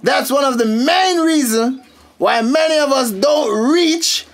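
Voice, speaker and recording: A man's voice: extremely high pitch, slow pace, heavily acted. An actor playing a vain, argumentative Jamaican ladies' man in a radio soap, boasting emphatically. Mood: smug, indignant.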